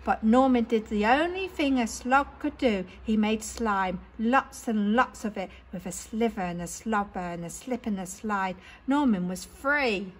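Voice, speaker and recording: A woman reading picture books aloud to children in an animated, dramatic way. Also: on the high side, a little slow, hardly any pauses. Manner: animated, dramatic, with exclamations and sound words. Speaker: children's storyteller at a library story time. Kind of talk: expressive picture-book read-aloud.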